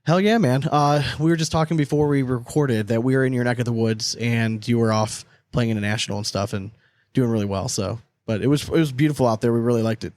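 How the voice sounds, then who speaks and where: A man's voice: mid-range voice, fast, darkish tone, no echo. A man hosting a podcast in casual, slangy, sweary conversation. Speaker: podcast host. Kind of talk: casual chat interview.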